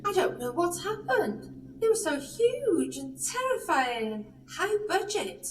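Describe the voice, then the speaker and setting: A woman's voice: very high voice, big pitch swings, measured pace, far from the mic, slight background noise, exaggerated voice, low-quality recording. A woman playing a scatty, bossy but kindly fairy godmother in an audio pantomime, with exaggerated theatrical delivery. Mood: flustered.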